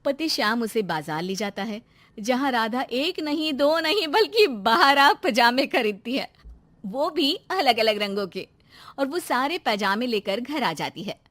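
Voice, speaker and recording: A woman's voice: a little high, sing-song, a little slow, fairly bright tone, dry recording. A woman narrating a scripted story.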